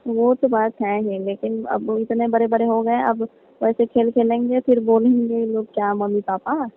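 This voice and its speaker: A woman's voice: on the high side, flat delivery, moderate pace, close to an everyday voice. Woman playing young female cousin, phone-line sound, coy, teasing, bashful, colloquial casual chat. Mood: bashful protesting. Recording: thin narrow-band phone line.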